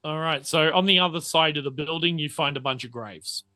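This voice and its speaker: A man running a tabletop roleplaying game as game master, narrating off the cuff and often halting to think mid-sentence. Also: fairly high pitch, sharp tone, no room sound.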